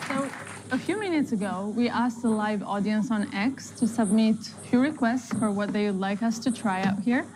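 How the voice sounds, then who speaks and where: A woman's voice: a little high, moderate pace, noisy background. A woman presenting a live product demo in a conversational style, as its host. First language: Italian speaker. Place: live staged demo before an online audience.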